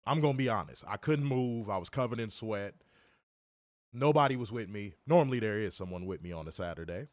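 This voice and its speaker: A young man co-hosting a wrestling review stream, hoarse from illness, cursing and joking straight to his viewers. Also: lowish voice, expressive, a little fast, no echo. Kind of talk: casual live-stream chat. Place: home, in Chicago.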